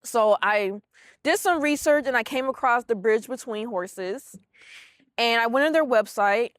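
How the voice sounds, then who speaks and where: A young adult woman volunteer speaking casually and a bit haltingly in a documentary interview. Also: a little high, dry recording, clean studio sound.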